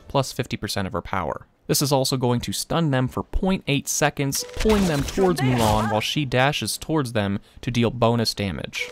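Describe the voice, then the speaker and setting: Young man narrating over loud game noise. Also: medium pitch. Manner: matter-of-fact, informative. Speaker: gaming video host. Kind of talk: explanatory game guide narration.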